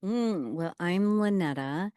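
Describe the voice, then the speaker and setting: A woman with a notably low voice talks as a podcast guest. She speaks very slowly and deliberately, with hesitant pauses. The sound is dry and close. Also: animated, mellow, clear, resonant tone, no echo. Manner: slow and measured, hesitant fillers. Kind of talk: casual podcast conversation.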